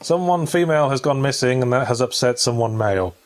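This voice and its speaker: A man's voice: mid-range voice, expressive, fast. A man giving casual, comedic game commentary in a dry, wry and wordy manner. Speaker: host of a retro game commentary show.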